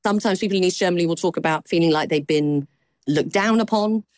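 A woman correspondent explaining the news in a measured conversational way, with thinking pauses. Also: fairly low pitch, a little mellow, no room sound.

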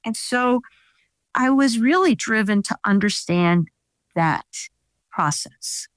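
A woman's voice: a little high, animated, slow pace, no echo, studio quality. A woman scientist whose first language is Italian, explaining her research in an interview with many fillers and restarts. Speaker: scientist guest. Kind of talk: interview answers.

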